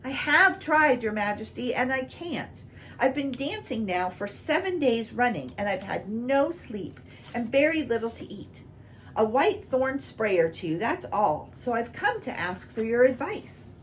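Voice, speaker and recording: A woman voicing a gentle, bewildered red cow who speaks simply and piteously. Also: on the high side, big pitch swings, a little slow, a little hiss or hum, voice pushed up, rough recording. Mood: piteous, earnest.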